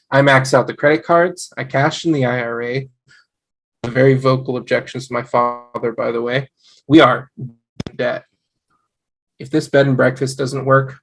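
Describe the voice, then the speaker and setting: A man's voice: medium pitch, lightly acted, poor audio. Man playing an earnest young husband, mid-range voice. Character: eager, devoted young husband. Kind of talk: sitcom table read.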